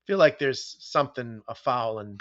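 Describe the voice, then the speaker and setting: A man's voice: medium pitch, normal speed, fairly bright tone, no room sound. Chatty Boston man co-hosting a podcast. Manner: chatty, jokey, asks co-host questions. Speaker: podcast co-host. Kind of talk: casual podcast discussion. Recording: dull, dry podcast audio.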